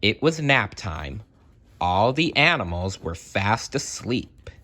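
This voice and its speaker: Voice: medium pitch, big pitch swings, slow, pushed and bright. A storybook reader narrating expressively for young children and addressing the listeners directly. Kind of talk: animated children's read-aloud narration.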